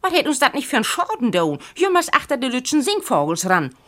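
Bossy storybook aunt, played by a woman reader, Low German accent, pitched up high, loud, exclamatory, swooping. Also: very animated, a little fast. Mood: indignant, gleeful. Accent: northern German, Low German. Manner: exclamatory, forceful. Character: feisty, loud, bossy aunt, boisterous and indignant. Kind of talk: storybook character voice.

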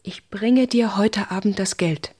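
An actress playing a self-assured, warm-hearted young typist in a German radio play, speaking directly and decisively. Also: female voice, mid-range voice, a little slow, slightly rough. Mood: sympathetic, subdued. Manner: direct, decisive, clipped sentences. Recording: music behind the voice.

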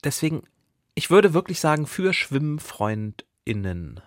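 A man co-hosting a book podcast, giving conversational reviews in an articulate, expressive manner. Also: on the high side, unhurried, dry recording, studio quality. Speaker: podcast co-host discussing books.

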